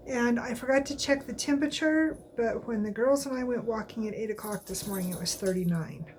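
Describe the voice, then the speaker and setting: A woman's voice: medium pitch, a little mellow, slight background noise, poor audio. A woman with a stuffy nose from allergies, chatting casually at home in a weekly crafting update, rambling and often correcting herself. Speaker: cross-stitch vlogger. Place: at home, indoors.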